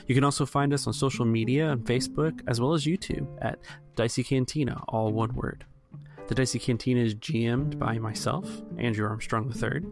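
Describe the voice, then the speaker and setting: Gravelly man running a tabletop game. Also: mid-range voice. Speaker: game master, podcast host. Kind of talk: tabletop game roleplay narration.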